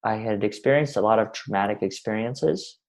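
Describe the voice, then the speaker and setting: A man's voice: lowish voice, fairly quick, vocal fry. A man in his twenties, originally from Russia, talking earnestly in long, winding sentences as a podcast guest. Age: 20s. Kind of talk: reflective interview talk.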